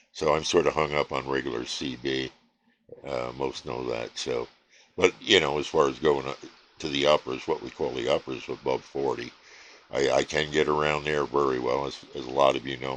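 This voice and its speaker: A man casually chatting about his radio hobby at his home station, relaxed and rambling with many fillers. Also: rumbling low, a little hiss or hum. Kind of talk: casual chat to camera.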